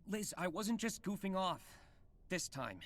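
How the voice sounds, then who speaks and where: An actor playing a nervy, earnest young superhero in a video game, with an American accent and a rambling, wry delivery. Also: male voice, sits high, animated, unhurried, fairly bright tone. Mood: apologetic, pleading. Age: young adult. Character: nervy, quippy young male superhero, earnest and self-doubting. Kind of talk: video game audio log acting.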